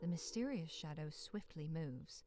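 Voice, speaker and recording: A woman narrating a bleak, frozen monster-hunting visual novel as a solemn storyteller, with phrases sinking into hush. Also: sits low, animated, a little slow. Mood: ominous, suspenseful. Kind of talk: scripted second-person dramatic narration. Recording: clear noise behind the voice.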